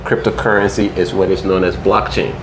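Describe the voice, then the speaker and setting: A man's voice: deep voice, little pitch movement, brisk, noisy background. A Ghana-born man as an interview guest, explaining things patiently and checking in with "right?" and "you know".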